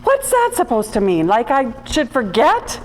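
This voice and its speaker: A woman's voice: on the high side, huge pitch swings, normal speed, a little hiss or hum, low-quality recording. Woman acting a feisty, exasperated 1940s dame. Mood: scolding. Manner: scolding, sardonic. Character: feisty, exasperated 1940s police clerk girlfriend, female. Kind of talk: 1940s radio noir parody acting.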